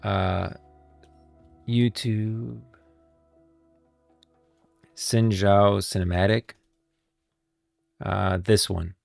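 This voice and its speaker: A man with a very low voice gives casual lore commentary as a stream host. He rambles and stresses his points by repeating them, with music playing behind him. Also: little pitch movement, very slow, vocal fry, pauses often, a little mellow. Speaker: livestream host. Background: background music.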